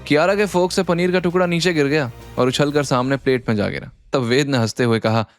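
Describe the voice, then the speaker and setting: A man's voice: fairly high pitch, brisk. Dramatic male storyteller over music. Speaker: narrator. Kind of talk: dramatic scripted story narration.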